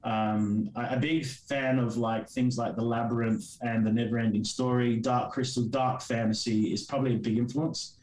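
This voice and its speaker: A man's voice: medium pitch, level tone, normal speed, hardly any pauses, darkish tone, a little hiss or hum. A man in his forties with an Australian accent and Greek Cypriot as his first language, rambling through a casual interview with many fillers and restarts. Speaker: guest comic creator. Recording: roomy, distant mic.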